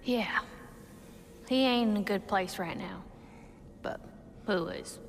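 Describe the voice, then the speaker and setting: A woman playing a blunt, feisty dwarf woman in a fantasy video game, with a brash, folksy and forceful delivery. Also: medium pitch, slow. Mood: subdued. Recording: long reverb, faint background noise.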